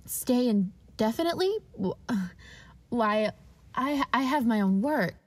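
A woman's voice: on the high side, lively intonation, slow pace. A woman playing a polite, wide-eyed and hesitant doctor in 1940s radio drama style.

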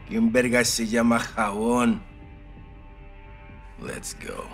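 A man's voice: mid-range voice, vocal fry, a bit bright, exaggerated voice. A man voicing a swaggering, menacing middle-aged cartel lieutenant in a video game, with a Mexican accent. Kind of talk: video game cinematic acting.

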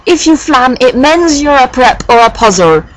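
A woman voicing an overdramatic, defensive teenage girl author with shrill, whiny, exaggerated emphasis. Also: expressive, normal speed, low-quality recording. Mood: defensive, petulant.

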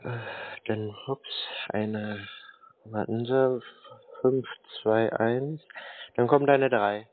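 A man's voice: medium pitch, steady tone, extremely slow, long pauses, narrow, tinny audio. A man speaking as a naive, confused, literal-minded customer in a phone conversation. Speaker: scambaiter playing a naive customer. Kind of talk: slow, bumbling phone conversation. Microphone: telephone call.

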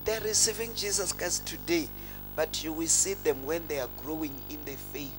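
A woman's voice: low voice, normal speed, noisy background. A middle-aged woman pastor making an altar call on an outdoor stadium stage, repeating pleas to come forward into a handheld mic. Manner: pleading, repetitive calls to come forward. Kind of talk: altar call sermon with interpreter.

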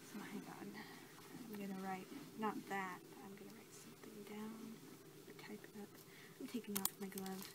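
A noisy home recording of a young woman in a soft ASMR role-play. She speaks gently and haltingly at a medium pitch, with very little rise and fall. Her hushed voice tends to sink toward a whisper. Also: level tone. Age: young adult. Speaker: ASMR creator role-playing a creature examiner. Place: small cluttered room indoors.

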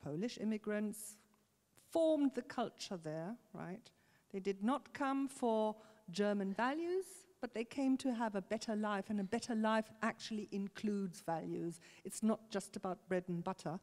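A woman on a concert hall stage argues indignantly and forcefully into a handheld mic. Her voice sits in an ordinary middle register, and it echoes through a large hall. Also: medium pitch, a little slow. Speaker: panelist. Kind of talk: heated panel debate.

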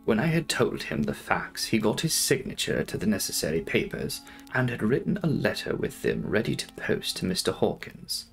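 A man's voice: fairly low pitch, sing-song, moderate pace, creaky, few pauses, soft background music. A young man giving a dramatic narration of a classic novel as an audiobook reader.

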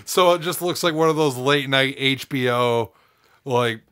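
A young man with a sore throat co-hosting a casual podcast, animated and given to loud excited outbursts, in a room lined with shelves. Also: mid-range voice, a little slow, noisy background. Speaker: podcast co-host. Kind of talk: casual chat. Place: room with shelves of movies and comics.

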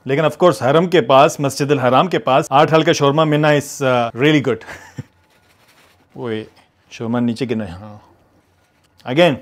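A man in his thirties narrating a casual, enthusiastic food vlog, first walking through a mall food court, then in a hotel room. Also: fairly high pitch. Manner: chatty, enthusiastic, mixes English phrases in. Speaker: food vlogger.

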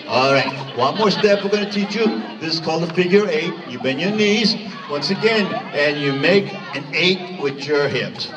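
A man's voice: a little high, lively intonation, moderate pace. Upbeat man counting hula steps. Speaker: hula instructor. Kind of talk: teaching a dance to children on stage. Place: large hall at a children's show. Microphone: phone camera far from stage. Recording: distant phone recording, loud music and crowd.

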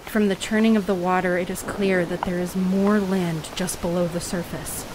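A woman's voice: fairly low pitch, flat delivery, moderate pace, background noise, lightly acted. A woman in an audio play playing a guarded, intense raft dweller of an ocean world, speaking in plain, vivid phrases. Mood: tense, fearful.

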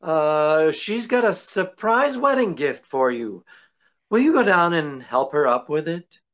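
A middle-aged actor playing a suave, teasing detective with a wry, unhurried delivery in old-time radio comedy style. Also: male voice, on the high side, big pitch swings, fairly bright tone, heavily acted. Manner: unhurried, wry, hesitating for effect. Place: indoors, small draped room. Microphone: video call. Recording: muffled video-call audio.

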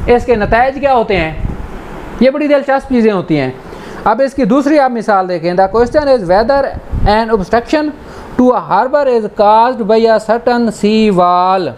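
Casting: male Pakistani law lecturer, conversational explainer, bilingual delivery, faint background noise. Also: extremely high pitch. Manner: conversational, switches between Urdu and slowly read English. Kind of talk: informal lecture, explaining legal articles with examples.

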